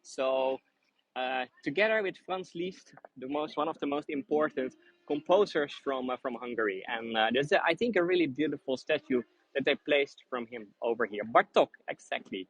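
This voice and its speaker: A man's voice: mid-range voice, lively intonation, normal speed, husky, poor audio. A man with a Dutch accent, whose first language is Dutch, guiding a live walking tour outdoors on busy city streets, chatty and friendly with many fillers and restarts. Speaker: tour guide.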